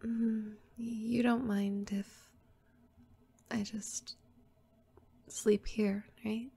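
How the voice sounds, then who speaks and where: A young girl next door, played by a woman, talks in an unhurried, casual way with plenty of vocal fry. She is soft-spoken, teasing and playful, as in an intimate bedtime roleplay audio. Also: on the high side, level tone, exaggerated voice. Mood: sleepy, soft.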